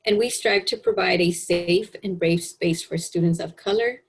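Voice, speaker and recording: A woman student program advisor presenting online in a soft, measured way. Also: on the low side, a little hiss or hum.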